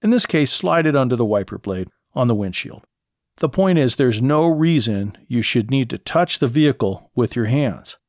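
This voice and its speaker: A man's voice: mid-range voice, animated, moderate pace, darkish tone, no echo. American man hosting a dry-sounding instructional podcast. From lives in California. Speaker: podcast host. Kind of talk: solo podcast talk, scripted instructional narration.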